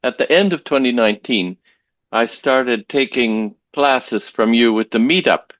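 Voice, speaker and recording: A man's voice: medium pitch, a little slow, no room sound, muffled recording. A man, a guest, chatting casually on a video call, rambling and restarting sentences as he recalls things. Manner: relaxed, rambling, with frequent restarts. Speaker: interview guest, language learner. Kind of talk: casual conversation.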